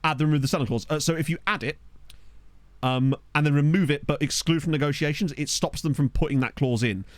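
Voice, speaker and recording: A man's voice: fairly high pitch, fairly quick, dry recording. A man giving casual, rambling commentary as he streams a football management game, laughing and reacting aloud to the players he finds. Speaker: gaming streamer. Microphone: desk microphone.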